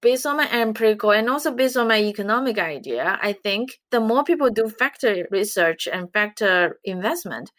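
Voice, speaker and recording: A woman from China who speaks English, giving measured, earnest answers as an interview guest. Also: medium pitch, animated, fairly bright tone, dry recording, studio quality. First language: Chinese. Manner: measured, earnest explaining with light laughs. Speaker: guest, investment researcher.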